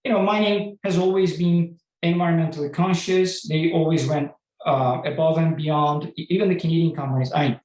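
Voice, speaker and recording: A man's voice: a little high, fairly even intonation, brisk, a little mellow. A man from Turkey, a company CEO answering conference questions in a hesitant, explanatory way with many restarts. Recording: echoey room.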